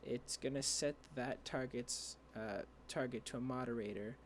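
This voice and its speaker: A young man explaining a coding tutorial over a screen share, rambling and halting with many fillers and restarts, his voice dry. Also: medium pitch, fairly even intonation, slight background noise. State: dry voice, clearing throat. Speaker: tutorial creator.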